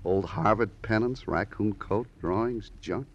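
An actor playing a nosy, fidgety, talkative witness in an old radio crime drama, flippant and rambling. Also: male voice, lowish voice, unhurried, breathy edge, muffled recording. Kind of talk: 1940s-50s radio drama acting.

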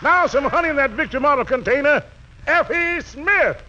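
Very high-pitched 1940s radio emcee man. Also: lively intonation, moderate pace. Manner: flowery, grandiose slang introductions. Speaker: master of ceremonies. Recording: noisy, dull old radio broadcast, audience. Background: crowd and noise.

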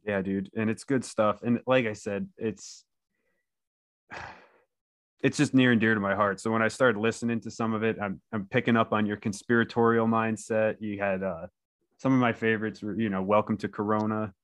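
A man's voice: fairly low pitch, flat delivery, moderate pace, no room sound, clean studio sound. A man hosting a casual conversation in a laid-back, slangy way, often saying dude and you know. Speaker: podcast host, interviewer. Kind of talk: casual chat.

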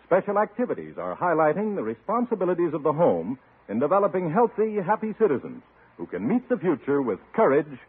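A man announces in earnest, formal 1950s radio style. His voice is fairly high and husky, with lively rises and falls. It sounds like a tinny old broadcast. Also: a little fast. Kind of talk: scripted 1950s public-service announcement.

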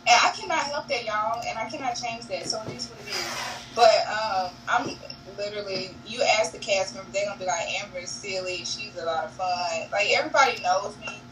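Young woman, bright voice, far from mic. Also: medium pitch, brisk. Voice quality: naturally monotone voice. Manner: candid, laughing often, says honey and y'all. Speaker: reality show cast member, guest. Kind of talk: casual interview chat. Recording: distant mic with faint noise.

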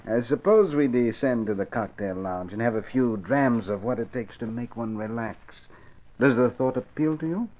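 A man's voice: medium pitch, moderate pace, close to an everyday voice. An actor playing a suave, intense, calculating male spy with precise, formal diction in a vintage radio drama. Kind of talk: 1950s radio drama acting. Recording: thin, phone-like vintage radio recording.

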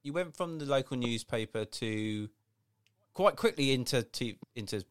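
A man hosting a relaxed interview, his pitch moving in a lively way as he asks questions and nudges the guest along. His voice sounds dry and close, with no room around it. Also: moderate pace, clear, resonant tone, no echo. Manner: encouraging, short back-channel replies. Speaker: podcast host. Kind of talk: casual interview.

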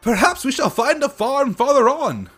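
A taciturn young theologian student, put on by a man reading aloud, giving short, unbothered replies. The voice sits high and fairly loud. Faint music runs under the reading. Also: big pitch swings, normal speed, slightly rough, exaggerated voice. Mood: laconic.

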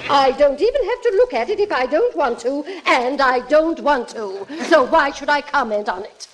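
An actress playing a haughty, middle-aged society matron with a grand, mock-polite manner in an old radio comedy. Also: female voice, high-pitched, lively intonation, normal speed, very noisy. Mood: gleeful scorn. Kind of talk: 1940s radio comedy acting.

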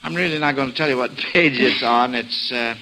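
A man's voice: medium pitch, a little fast, bright, forceful tone. A jovial, chuckling man hosting a quiz show in a 1940s radio style, on a noisy old broadcast.

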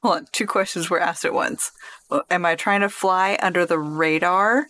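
A woman co-hosting a podcast, chatting casually in a relaxed, self-assured way. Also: on the low side, normal speed, a little hiss or hum. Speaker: podcast co-host. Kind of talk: casual chat.

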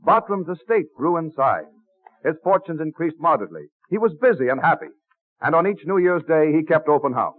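Vintage radio narrator: man, slightly high voice, formal scripted storytelling, narrow tinny sound. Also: very animated, brisk. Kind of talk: mid-century American radio scripted narration. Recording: tinny old radio recording with music. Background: music.

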